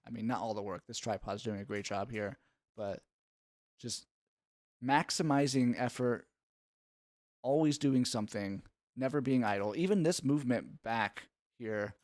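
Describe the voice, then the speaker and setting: A man's voice: medium pitch, a little slow, a little mellow, dry recording, studio quality. A man giving analytical play-by-play sports commentary in an instructive, explanatory way.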